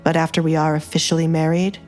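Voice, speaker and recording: A woman voicing a powerful male duke, cool, controlled and quietly menacing, in an audio romance drama. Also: low voice, level tone, quick pace, soft background music, lightly acted. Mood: quietly threatening.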